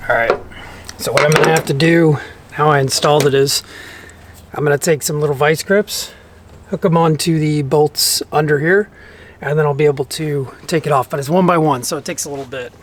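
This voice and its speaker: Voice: mid-range voice, brisk. A DIY vlog host giving casual, hands-on how-to narration, informally talking to themself while working. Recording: clearly noisy on-site recording, clicks.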